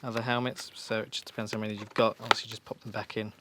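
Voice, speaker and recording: A man's voice: fairly low pitch, level tone, fast talker, heavy background noise. A man giving an informal, practical step-by-step demonstration in a reassuring, instructional way. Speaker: motorcycle shop staff giving a fitting tutorial.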